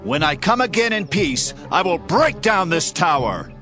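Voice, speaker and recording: Guy acting righteous old-testament warlord, declaiming big, highish voice all over the place, bible audio drama. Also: sing-song, normal speed, bright, forceful tone, soft background music, full character voice. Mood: vengeful threat. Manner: declamatory, theatrical. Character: commanding male ancient Israelite war leader, forceful, righteous.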